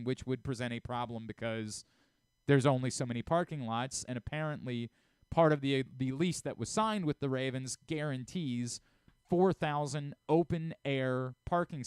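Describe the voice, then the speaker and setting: A man's voice: medium pitch, moderate pace, clean tone, dry recording. A man hosting a sports radio talk show from a studio, giving emphatic commentary and repeating points for stress.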